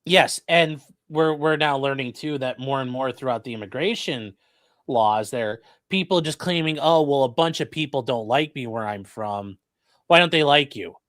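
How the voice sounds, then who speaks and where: A man's voice: mid-range voice, moderate pace, a bit bright, no echo, studio quality. A man hosting a live stream, reading out viewer questions and giving casual legal commentary with wry, joking asides.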